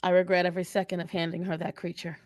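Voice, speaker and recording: A woman's voice: fairly low pitch, flat delivery, rapid-fire, darkish tone, no echo, close to an everyday voice. A woman playing a brash, sardonic twenty-two-year-old character in an improvised Q&A, blunt, sarcastic and foul-mouthed. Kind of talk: improvised in-character question and answer.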